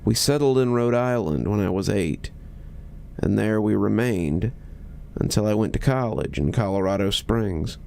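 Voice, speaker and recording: Low voiced guy reading a creepy story, gravelly, slow deliberate phrasing with pauses. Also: animated, dark tone. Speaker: storyteller reading horror fiction aloud. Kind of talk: scripted horror story narration.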